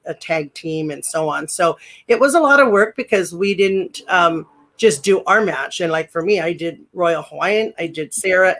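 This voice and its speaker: A woman in her sixties with a deep voice, chatting as a guest in an interview, rambling with many fillers and restarts. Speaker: guest, former pro wrestler. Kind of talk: casual interview chat.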